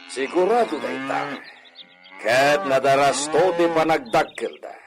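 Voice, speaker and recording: A man's voice: medium pitch, a little slow, a crowd in the background, lightly acted. A man playing a confident, satisfied cattle raiser in an Ilocano radio drama. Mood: pleased. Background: music and mooing cattle.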